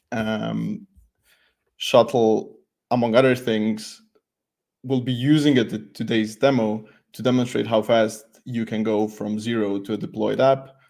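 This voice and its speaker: A man's voice: mid-range voice, creaky, darkish tone. A man, giving an informal tech talk with a light, self-deprecating humour. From Croatia. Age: 20s. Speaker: conference speaker, developer advocate. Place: at home, makeshift desk setup, remote video call.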